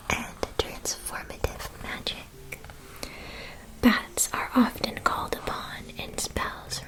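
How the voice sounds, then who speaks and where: A woman playing a gentle, attentive witch-school shopkeeper in a soft, soothing ASMR roleplay. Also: on the high side, poor audio. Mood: calm, attentive.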